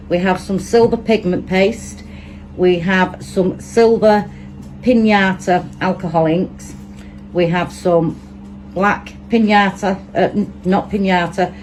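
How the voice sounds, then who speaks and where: A woman with a Manchester accent and a lowish voice talks her way through a hands-on resin tutorial. She chats easily and thinks aloud as she works. Steady background noise and passing traffic sit under her voice. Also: poor audio. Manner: chatty, thinks aloud while working. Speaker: resin crafter demonstrating.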